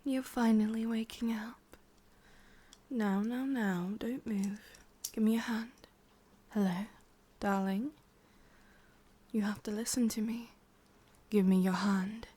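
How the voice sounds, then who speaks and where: A woman's voice: mid-range voice, steady tone, takes it slowly, long pauses, mellow, slightly rough. A woman with an English accent playing a possessive, sadistic ancient witch in a whispered ASMR roleplay, coaxing and controlling. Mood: coaxing, commanding.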